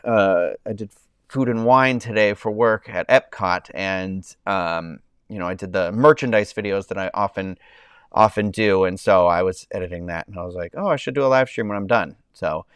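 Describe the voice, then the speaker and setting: A man hosts a relaxed live stream from home, talking in a nasal, congested voice from a summer cold. He rambles with frequent ums and restarts while answering his chat. His voice is smooth and clear, recorded dry and close on a clip-on mic. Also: sits low, moderate pace, dry recording. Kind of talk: casual chat with live chat viewers. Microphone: clip-on mic under his shirt.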